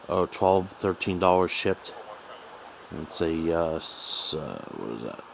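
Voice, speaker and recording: A man talking casually and haltingly as he rambles through a hobbyist's gadget troubleshooting demonstration. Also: low voice. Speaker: radio hobbyist. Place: small room at home, indoors. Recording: noisy home recording with background hiss.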